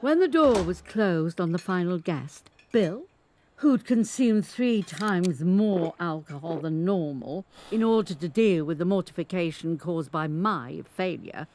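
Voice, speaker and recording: An eighty-five-year-old woman, a dignified survivor recounting her married life. Her voice sits on the low side and moves with lively, expressive inflection. She speaks in measured, articulate sentences as in an audio drama monologue, over instrumental guitar music. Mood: grave, bitter. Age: older. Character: 85-year-old grandmother, abused former footballer's wife, dignified survivor. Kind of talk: dramatic first-person monologue, scripted storytelling. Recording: studio recording with guitar music underneath.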